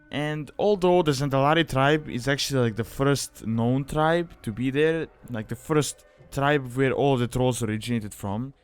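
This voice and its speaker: A man's voice: medium pitch, clean tone. A man giving a casual explanatory voice-over as a guide narrator, in an informal, rambling way.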